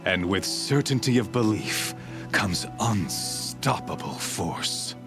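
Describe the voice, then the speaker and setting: A man playing a cruel, smug cult leader in a video game cutscene, speaking in a measured, menacing and grandiose way. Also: deep voice, sing-song, exaggerated voice. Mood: cold menace. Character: cold, cruel male cult leader, smugly zealous villain. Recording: voice under background music.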